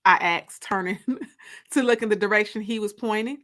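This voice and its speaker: A woman narrating a first-person story aloud in an expressive, confiding, emphatic way. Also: mid-range voice, normal speed.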